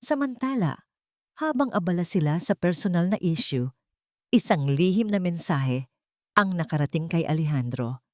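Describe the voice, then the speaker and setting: A woman narrates a scripted dramatic story in a measured, earnest manner. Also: lowish voice, lively intonation, darkish tone, no room sound, studio quality.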